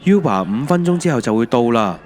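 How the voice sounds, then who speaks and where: A man's voice: medium pitch, very animated, brisk, crowd noise. A man with a Hong Kong accent, narrating a scripted autobiographical audio drama in a reflective, wry storytelling manner. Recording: music under the voice. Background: background music.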